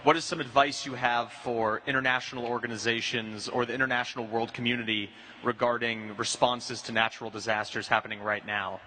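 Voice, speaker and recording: A man's voice: medium pitch, level tone, brisk, bright, forceful tone, a little hiss or hum, poor audio. A man, a history graduate student, asking a polite, composed question. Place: large university lecture hall.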